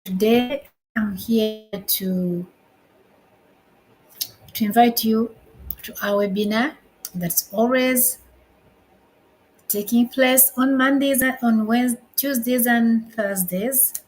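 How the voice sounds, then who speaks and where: A woman from Uganda in her fifties, hosting a casual live stream from home, unhurried and repeating her phrases as she restarts them. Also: fairly high pitch, low-quality recording. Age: 50s. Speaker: livestream host.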